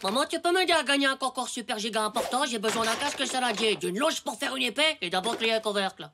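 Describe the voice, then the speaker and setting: A performer voicing an excitable, boastful, whiny ten-year-old schoolboy in an animated comedy series, exclaiming dramatically in slang. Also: medium pitch, fast talker, heavy background noise, natural voice. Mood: pleading.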